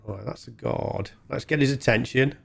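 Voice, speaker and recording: A man's voice: mid-range voice, normal speed, gravelly, a little hiss or hum. A man giving casual, colloquial, self-deprecating commentary while playing a video game. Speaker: gaming video host. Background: video game music.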